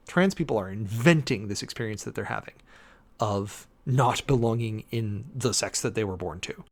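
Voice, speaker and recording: A man with a mid-pitched voice and very lively intonation reads and comments like a preacher-host. He is theatrical and emphatic, swinging between formal recitation and informal, opinionated asides.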